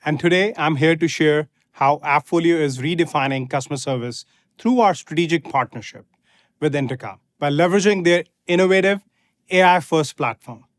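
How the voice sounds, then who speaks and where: Higher-pitched man giving a measured testimonial. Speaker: guest customer speaker. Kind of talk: scripted corporate testimonial.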